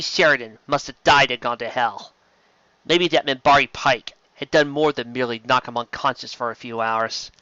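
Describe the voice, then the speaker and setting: An expressive man narrates fiction as an amateur audiobook reader. His voice is bright and a touch high, recorded dry with a slightly dull, lo-fi sound. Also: no room sound. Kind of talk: dramatic reading of fan fiction narration with reactions.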